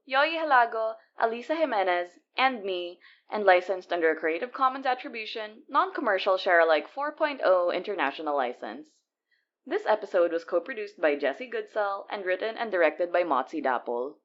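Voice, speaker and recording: A woman's voice: mid-range voice, lo-fi sound. A woman reading a scripted podcast outro as co-producer, with credits and announcements for listeners.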